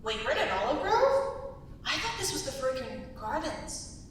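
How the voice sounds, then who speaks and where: A woman's voice: high voice, very animated, moderate pace. A woman playing a friendly, scatterbrained and chatty traveller in a staged script reading. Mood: bubbly, friendly. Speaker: student actor. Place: large indoor room at a live staged reading. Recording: echoey hall from far off, faint noise.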